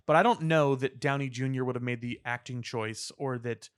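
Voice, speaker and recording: A man co-hosting a podcast, talking earnestly and articulately in casual discussion, with elaborate words and deliberate pauses. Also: medium pitch, smooth. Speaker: podcast co-host. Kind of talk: opinionated casual pop-culture discussion.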